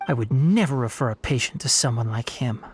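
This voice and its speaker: An actor playing a young, earnest and principled scholar in a video game, speaking in a measured, formal, guarded way. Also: male voice, mid-range voice, animated, a little hiss or hum, lightly acted. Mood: concerned, reflective.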